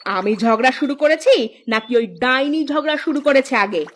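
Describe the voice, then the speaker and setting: A woman's voice: high-pitched, lively intonation, normal speed. A woman playing a quarrelsome, easily offended village wife in a cartoon comedy, scolding and complaining indignantly. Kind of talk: Bengali cartoon comedy acting. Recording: music behind the voice.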